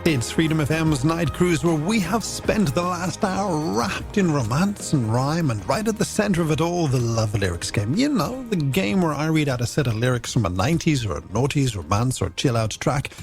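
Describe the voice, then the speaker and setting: Playful Irish radio man, very animated pitch. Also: moderate pace, hardly any pauses. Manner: playful, florid, tongue-in-cheek wordplay. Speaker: late-night radio music show host. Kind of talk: scripted radio presenting with games and listener messages. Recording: music bed under the voice. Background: music.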